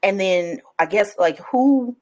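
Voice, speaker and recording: A woman's voice: medium pitch, lively intonation, slow pace, darkish tone. A woman hosting a podcast, asking questions in a chatty, casual way with frequent backchannels. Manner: chatty, backchannels often, laughs. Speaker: podcast host. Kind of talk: casual interview conversation.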